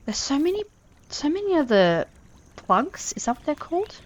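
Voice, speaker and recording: A woman's voice: high voice, very animated, moderate pace, lo-fi sound. An Australian woman in her thirties, chatting casually and earnestly over her gameplay, often trailing off mid-sentence. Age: 30s. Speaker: gaming channel host.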